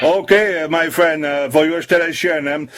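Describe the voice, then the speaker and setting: A man from France with a French accent, speaking halting, simple English as a CB radio operator making contact. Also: on the high side, fairly even intonation, fairly bright tone.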